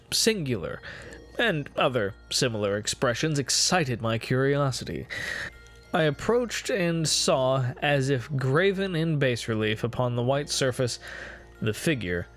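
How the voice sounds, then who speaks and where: A man voicing a remorseful, perverse man confessing dark crimes in a solemn, theatrical reading of a gothic horror story. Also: mid-range voice. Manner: solemn, deliberate, theatrical, formal.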